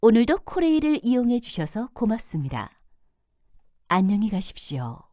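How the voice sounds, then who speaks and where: A woman announcer reading scripted subway station announcements in a formal, clearly enunciated manner. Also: a little high, big pitch swings, normal speed, mellow, no echo, studio quality. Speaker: train announcer.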